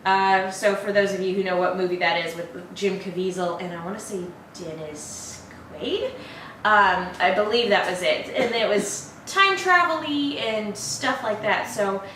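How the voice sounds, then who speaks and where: A woman's voice: medium pitch, measured pace, bright, forceful tone. A woman co-hosting a horror trailer reaction show, chatting casually. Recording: echoey, noisy room, distant mic.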